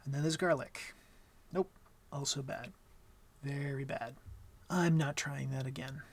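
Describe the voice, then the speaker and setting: A young man playing an awkward, gentle vampire in an intimate ASMR roleplay, talking softly and hesitantly with a wry streak. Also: mid-range voice, fairly even intonation, measured pace. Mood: wry, confiding. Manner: hesitant, soft-spoken, wry.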